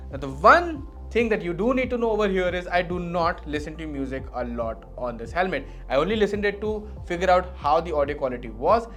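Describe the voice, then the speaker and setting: A man giving an explanatory product review in a measured way, leaning on key words for emphasis. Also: fairly high pitch, a bit bright.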